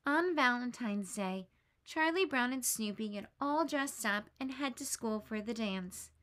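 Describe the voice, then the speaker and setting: A woman, a teacher, reading a children's picture book aloud, gentle and expressive. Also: a little high, measured pace. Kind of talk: read-aloud storybook narration.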